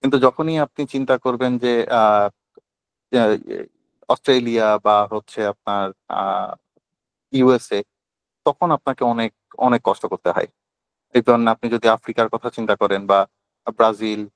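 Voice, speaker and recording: A man's voice: mid-range voice, steady tone, normal speed, long pauses, dry recording, poor audio. A man with a Bangladeshi accent teaching informally on an online call, explaining step by step with examples. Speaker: instructor.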